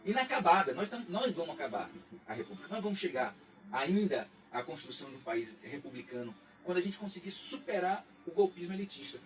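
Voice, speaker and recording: A man's voice: high-pitched, big pitch swings, a little fast. A man, a radio guest talking politics in a reflective, lecturing way with frequent restarts. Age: 40s. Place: radio studio. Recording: distant mic, faint music underneath.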